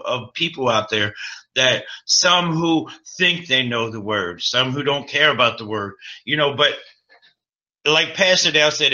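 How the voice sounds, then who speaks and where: Bright-toned, stammering man. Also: medium pitch, dull audio. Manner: stammering restarts, earnest. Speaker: co-host, radio show host. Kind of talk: religious talk.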